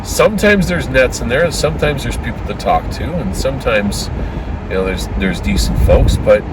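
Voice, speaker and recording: A man talks in a loose, opinionated vlog ramble at an average pitch, full of fillers and restarts, his throat a little dry. He is recording in a car, with heavy road and air-conditioning noise behind him. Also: lively intonation, normal speed. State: dry throat, occasional coughs. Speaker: ham radio vlogger. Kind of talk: casual rambling vlog talk.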